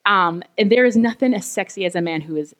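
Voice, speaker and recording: A woman's voice: medium pitch, fairly quick. Woman, 30s, co-preaching, heartfelt and laughing, church service. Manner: warm, heartfelt, laughing often. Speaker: pastor's wife, co-preacher. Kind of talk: sermon.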